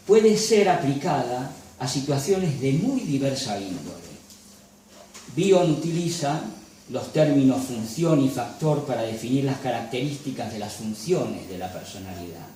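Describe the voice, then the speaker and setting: A man reads a dense academic lecture in a measured, formal tone. His voice sits in an ordinary middle pitch. It is picked up from some distance and rings through a strongly reverberant hall. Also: warm tone, slight background noise. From Galicia. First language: Galician. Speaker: lecturer and photographer. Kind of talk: reading a prepared academic lecture.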